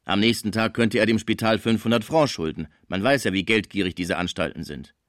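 A man's voice: lowish voice, a little fast, fairly bright tone, no room sound, clean studio sound, lightly acted. A man voicing a jovial but hard-nosed theatre director, blunt and commanding, in a storybook reading. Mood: decisive.

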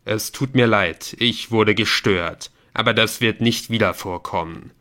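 A man's voice: lowish voice, a little slow, sharp tone. A man voicing a young male gamer, hasty and uneasy, with an earnest, questioning manner in audiobook dialogue. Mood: apologetic.

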